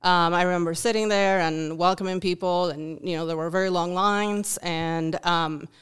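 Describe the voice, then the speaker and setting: A woman from Uruguay speaks English with a Uruguayan Spanish accent, her first language being Spanish. Her voice sits fairly low and comes through very clean and smooth. She talks thoughtfully, pausing on ums and restarting sentences. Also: fairly even intonation, a bit bright. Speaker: guest, food bank volunteer. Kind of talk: podcast interview.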